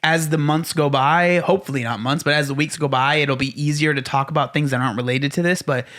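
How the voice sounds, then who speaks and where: Rambling young man, slightly high voice. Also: steady tone, fast talker, a bit bright. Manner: rambling, heavy on "like" fillers. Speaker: podcast co-host. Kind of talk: casual chat.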